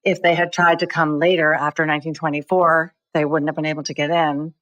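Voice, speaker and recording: A woman from the United States answers interview questions in a measured, articulate way. Her voice sits very low and stays level, and the recording is dry and close. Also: flat delivery, a bit bright, dry recording, rough recording. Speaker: documentary filmmaker guest.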